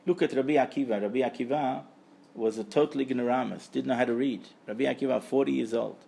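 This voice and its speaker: Man teaching Torah, gravelly creaky voice. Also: mid-range voice, quick pace, mellow. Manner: emphatic, repeats phrases for stress. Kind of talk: Torah lecture to a live group.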